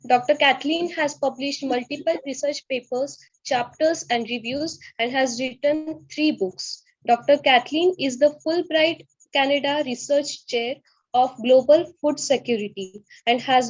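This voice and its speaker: A woman's voice: high voice, moderate pace. A woman reading a formal introduction of a guest speaker. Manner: formal, read-aloud delivery. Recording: dull lo-fi call audio.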